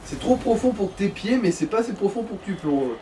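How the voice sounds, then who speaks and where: A man's voice: high-pitched, lively intonation, brisk, dark tone, far from the mic, a little hiss or hum. A man bantering casually as a gameplay co-commentator, joking with absurd exaggeration. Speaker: let's play co-commentator.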